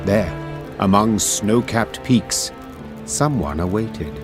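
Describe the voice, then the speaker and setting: A low-voiced storyteller narrates a war saga for a fantasy game. He is measured and solemn, with music underneath. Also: animated. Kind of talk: scripted dramatic storybook narration.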